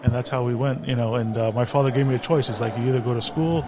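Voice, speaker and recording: A man's voice: mid-range voice, steady tone, fast, background noise. A middle-aged man from San Francisco, a guest who tells his career story in an easygoing, reflective way and laughs at himself. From San Francisco, USA. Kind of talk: interview storytelling.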